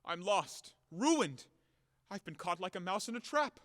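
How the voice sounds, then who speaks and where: Man playing high-voiced, hot-headed lovestruck gentleman. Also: big pitch swings, measured pace, exaggerated voice. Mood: agitated, passionate. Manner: melodramatic, declamatory, swinging from bluster to pleading. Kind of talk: theatrical audio play acting. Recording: long hall reverb, sometimes off-mic.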